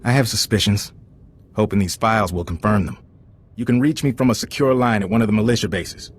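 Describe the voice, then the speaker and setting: A man's voice: lowish voice, a little fast, natural voice. A man playing a wry, easygoing field agent in a video game, talking informally. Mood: wry, businesslike. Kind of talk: video game dialogue acting.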